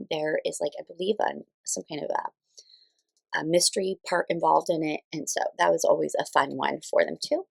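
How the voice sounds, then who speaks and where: A woman's voice: lowish voice, vocal fry, dry recording, rough recording. A woman in her thirties giving casual book recommendations as a parent, informally and a little hesitantly, thinking aloud as she explains. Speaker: parent reviewing children's read-aloud books.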